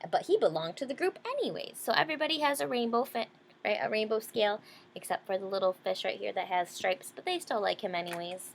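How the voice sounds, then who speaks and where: A woman's voice: medium pitch, lively intonation, fast talker, creaky, a little hiss or hum. A woman reading a children's storybook aloud, adding simple explanations for young listeners. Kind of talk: children's picture-book reading with simple asides.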